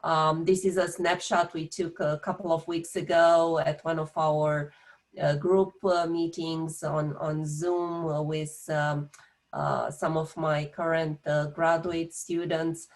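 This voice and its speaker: A woman's voice: low-pitched, level tone, slow pace. A woman in her fifties from Romania with a Romanian accent, an engineering professor giving a hesitant talk full of filler sounds over a video call.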